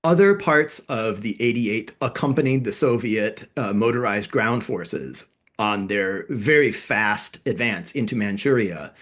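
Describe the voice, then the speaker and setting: A man's voice: lowish voice, fairly bright tone. A man co-hosting a history podcast, explaining events in a casual, thinking-aloud way with fillers and self-corrections. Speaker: podcast co-host.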